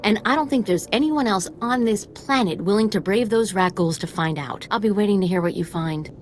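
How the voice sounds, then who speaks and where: A woman's voice: mid-range voice, brisk, soft background music, natural voice. A woman acting an earnest, idealistic young female scientist in a video game, speaking expressively. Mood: defiant, pleading.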